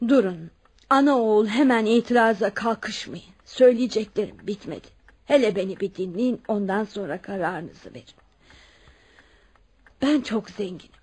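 An actress playing a wealthy, kindly widow near seventy in a Turkish radio drama, frank and dignified. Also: female voice, mid-range voice, moderate pace, darkish tone, husky, close to an everyday voice. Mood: earnest, resolute. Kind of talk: Turkish radio theatre acting.

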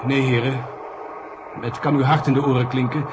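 A man's voice: mid-range voice, flat delivery, moderate pace, natural voice. A man playing a pessimistic technical expert in a 1970 radio drama, formal, precise and sombre. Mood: grave. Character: grave, pessimistic male technical expert briefing superiors. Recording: noisy 1970 radio recording.

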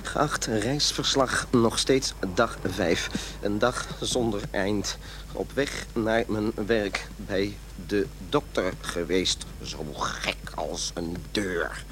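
A man's voice: lowish voice, slow, a bit bright, a little hiss or hum. An actor playing an irritable, rude man who is quitting smoking, condescending and easily indignant, in an audio drama. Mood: bitter.

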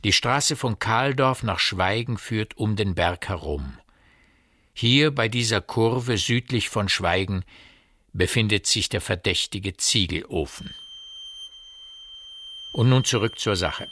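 Bright-voiced male storyteller, low-pitched. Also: lively intonation, slow, dry recording, clean studio sound, heavily acted. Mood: matter-of-fact, pointed. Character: male storyteller recounting eerie village events. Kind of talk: literary radio drama narration.

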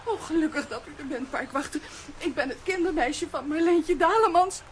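An actress playing a distraught, excitable young nursemaid in a children's audio drama, breathless and melodramatic. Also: female voice, sits high, brisk, slight background noise, lightly acted. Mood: panicked.

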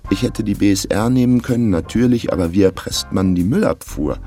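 A very low, gravelly male narrator in a radio crime drama. He is a broke young Berliner recounting his mishaps with laconic self-irony. Faint music sits behind the voice. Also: darkish tone. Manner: dry, laconic, self-ironic. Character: wry broke young Berlin man telling his own misadventure. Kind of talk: first-person radio play narration.

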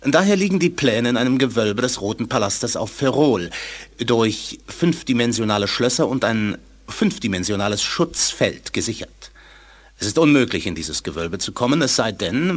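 A courteous but guarded alien scientist from a German science fiction radio play. His voice is husky and moves expressively through formal, earnest sentences. The delivery is measured and careful. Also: medium pitch, animated. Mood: solemn explaining. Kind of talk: German audio drama acting.

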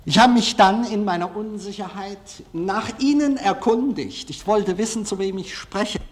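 Guy giving a talk in a hall, kinda high voice, halting, puts himself down. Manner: hesitant, halting, self-deprecating. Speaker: film director giving a lecture. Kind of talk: live public lecture. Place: large formal auditorium, indoors. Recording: live lecture in a hall.

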